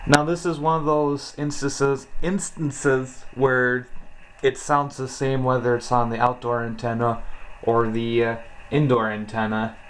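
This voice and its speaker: A man's voice: medium pitch, flat delivery, unhurried. Casual man, noisy homemade demo recording. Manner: informal, thinking aloud. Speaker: amateur shortwave radio hobbyist and presenter. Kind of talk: casual hands-on demonstration vlog. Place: indoor room with radio equipment. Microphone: camera's built-in mic. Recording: noisy cheap camera mic, radio static. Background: shortwave radio hiss and clicks.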